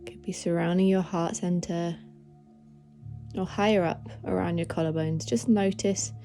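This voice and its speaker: A woman leading a guided meditation in a gentle, soothing, unhurried manner of instruction. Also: lowish voice, steady tone, vocal fry, faint music behind. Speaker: meditation guide, podcast host.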